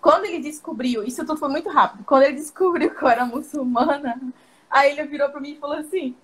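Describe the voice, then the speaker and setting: A woman's voice: high voice, fast, a bit bright. A woman tells her story casually to an interviewer, often laughing. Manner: chatty, laughs while telling stories. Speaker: interview guest. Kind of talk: casual storytelling on a video call.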